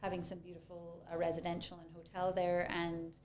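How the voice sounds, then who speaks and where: A woman's voice: on the low side, completely flat delivery, brisk, a little mellow, slight background noise. A woman co-hosting a casual podcast conversation, affirming and agreeable as she adds her views.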